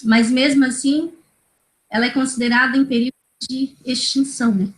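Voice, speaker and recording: A woman's voice: high-pitched, fairly even intonation, moderate pace. A woman in her thirties from Brazil, a native Kaingang speaker, talking as a guest educator on a video call, hesitant with many fillers and tag questions. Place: small indoor room. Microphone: laptop video call. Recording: roomy video call audio.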